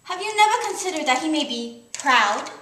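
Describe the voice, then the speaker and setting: A woman's voice: high voice, big pitch swings, normal speed, a bit bright. A woman playing an earnest, plain-spoken young country woman with emphatic, reproachful delivery in an amateur puppet show of a classic novel. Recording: echoey room with background noise.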